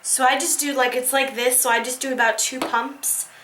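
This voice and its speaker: A young woman talks through a skincare routine in a casual, rambling way, full of ums and likes. Her voice sits a bit high and sounds crisp and bright, with a slight hoarseness left over from being sick. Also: steady tone, moderate pace, slight background noise. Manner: chatty, demonstrating while talking, many ums and likes. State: slightly hoarse, recovering from illness. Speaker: beauty vlogger.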